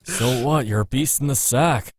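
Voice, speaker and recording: A man playing a gruff but protective and reassuring companion in a visual novel game. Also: mid-range voice, moderate pace, crowd noise, close to an everyday voice. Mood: blunt encouragement.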